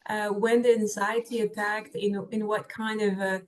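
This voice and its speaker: A woman hosts an interview and asks her guest questions. She speaks gently and a little hesitantly, at a middling pitch. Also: flat delivery, normal speed, poor audio.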